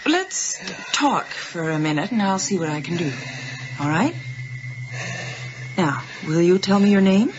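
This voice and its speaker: An actress playing a calm, patient doctor in a radio drama, measured and gently probing, with a dry edge. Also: female voice, on the low side, lo-fi sound, background noise. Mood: patient, coaxing. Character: calm, patient female doctor on hospital duty. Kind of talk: 1980s radio drama acting. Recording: band-limited 1980s radio drama, loud music.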